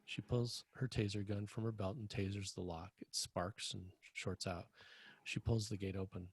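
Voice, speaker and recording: A man reading screenplay stage directions as scripted narration, with a husky, gravelly voice. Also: on the low side, level tone, normal speed, no echo.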